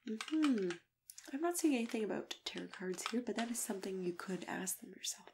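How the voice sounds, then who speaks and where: A woman doing a soft-spoken, often whispered ASMR roleplay as a kindly, gently chatty matchmaker who reassures her client. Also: mid-range voice, lively intonation, brisk, natural voice. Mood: helpful, chatty. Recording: clearly noisy, keyboard typing and clicks.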